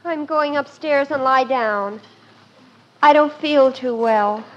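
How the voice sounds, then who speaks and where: An actress playing a melodramatic, lovesick American teenage daughter with plaintive delivery in a 1950s family radio comedy. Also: female voice, high voice, takes it slowly, highs cut off. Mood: anguished. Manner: plaintive, overwrought. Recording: noisy old radio broadcast recording.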